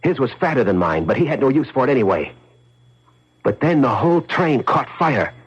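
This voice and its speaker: An actor playing a scheming, fast-talking, hard-boiled crook in a 1970s radio drama, slangy and insistent. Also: male voice, mid-range voice, sing-song, slightly rough. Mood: urgent, secretive. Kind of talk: 1970s radio drama acting, with first-person narration. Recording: muffled 1970s radio broadcast, music underneath.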